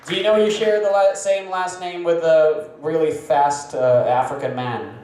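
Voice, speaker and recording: A man hosting a comedy open mic, bantering with the crowd and shouting comedian introductions. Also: fairly high pitch, steady tone, normal speed, distant mic, slight background noise. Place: comedy club stage. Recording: echoey live room from afar, music behind.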